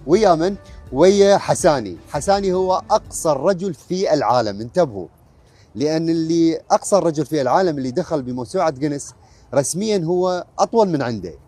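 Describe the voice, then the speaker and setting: A man's voice: fairly high pitch, expressive, fast, dark tone, faint music behind. A man with an Iraqi accent interviewing someone in a casual, chatty style. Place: outdoors on a waterfront promenade. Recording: faint outdoor background noise.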